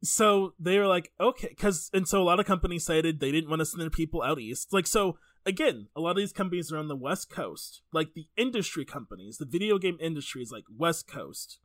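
Male podcast host, informal and joking storyteller, very lively intonation, close dry sound. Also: a little high, brisk, few pauses, no echo. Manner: informal, jokey, sweary. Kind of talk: casual chatty podcast storytelling.

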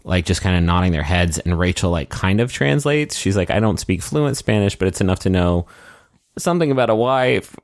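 A man co-hosting a podcast, joking and swearing, his voice gravelly after a busy stretch of performing. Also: expressive, fairly quick, no echo, studio quality. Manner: sweary, jokey, breaks into falsetto singing. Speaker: podcast co-host. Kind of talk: casual recap chat.

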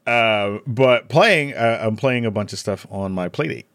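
Man, low voice, jokey podcast guest, slangy casual chat, close dry sound. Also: measured pace, fairly bright tone, clear, resonant tone, no echo.

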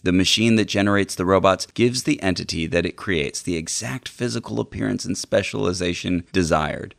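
Male podcast co-host for literary readings. Very low pitch, close dry sound, measured delivery. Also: creaky, no room sound. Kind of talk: dramatic reading of book passages.

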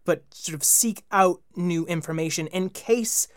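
A young man, a guest who hosts a children's podcast, talking earnestly with a slightly high voice and frequent restarts. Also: measured pace, no echo, clean studio sound. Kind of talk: casual interview chat.

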